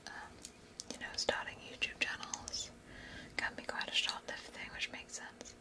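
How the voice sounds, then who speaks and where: A young woman whispering softly as she chats with viewers of her live stream. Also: a little slow. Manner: soft unvoiced whisper throughout. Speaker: ASMR creator reading live chat.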